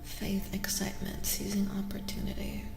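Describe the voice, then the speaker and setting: Echoey reverb over music, soft-spoken woman, unhurried ASMR tarot host, gentle, breathy drops into whispers. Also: mid-range voice, wildly swooping pitch. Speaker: streamer host giving a tarot reading. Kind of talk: soft-spoken ASMR, casual live chat and reading.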